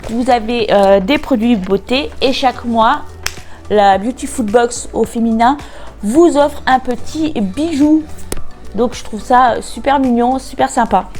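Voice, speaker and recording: A woman vlogger chatting casually through an unboxing, nasal and congested from a cold, pausing often with hesitant filler sounds. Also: fairly high pitch, a little mellow, soft background music. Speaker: beauty vlogger.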